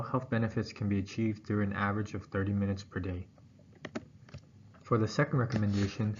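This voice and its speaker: Low-voiced man reading a presentation, muffled recording. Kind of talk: scripted academic presentation read aloud. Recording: dull, muffled audio with background noise.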